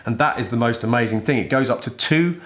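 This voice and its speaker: A man's voice: medium pitch, fast talker. British man presenting a chatty product review. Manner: chatty, irreverent, with mild swearing and slang. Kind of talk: informal product review to camera. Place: indoor studio set styled as a workshop.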